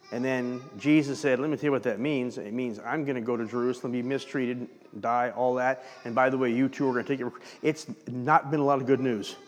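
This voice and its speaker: Pastor guy preaching, kinda high voice, lots of back-and-forth questions, long church echo. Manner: animated preaching with rhetorical questions. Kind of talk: sermon. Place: church sanctuary.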